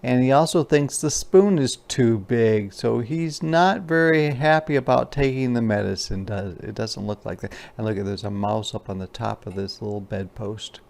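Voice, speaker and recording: A man's voice: mid-range voice, moderate pace, creaky, few pauses, darkish tone. A man reading a children's storybook aloud as a teacher, with informal, explanatory asides in simple words.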